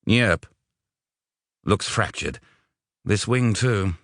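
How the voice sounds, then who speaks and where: A man's voice: fairly low pitch, lively intonation, drawn-out words, fairly bright tone, dry recording, clean studio sound, voice pushed up, heavily acted. A man voicing a disdainful, officious vet in an audiobook, clipped and matter-of-fact. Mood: matter-of-fact, firm. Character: disdainful, officious male veterinarian, dry and unbending. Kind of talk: audiobook character voice.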